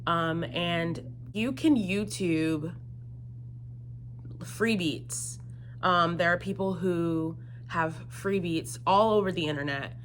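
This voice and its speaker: Young woman w low voice just chatting thru viewer questions, explains stuff casually, some humming noise behind her. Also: takes it slowly. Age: 20s. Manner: conversational, explanatory. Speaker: singer-songwriter answering viewer questions. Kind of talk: casual solo Q&A chat to camera.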